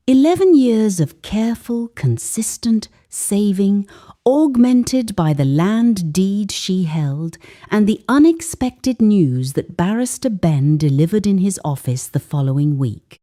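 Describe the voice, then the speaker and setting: Slow, mellow woman storyteller. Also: on the low side. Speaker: storyteller, narrator. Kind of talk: scripted dramatic story narration.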